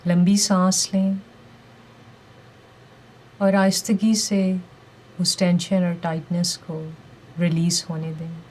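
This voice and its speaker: A woman leading a guided meditation with calm, gentle, deliberate instructions and pauses between phrases. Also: lowish voice, rough recording.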